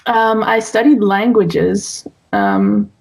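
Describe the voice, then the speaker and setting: A woman's voice: mid-range voice, sing-song, takes it slowly. A woman from Belgium in her thirties, a wedding photographer, chatting thoughtfully as an interview guest. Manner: thoughtful, many fillers and restarts, soft laughs. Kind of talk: casual interview chat.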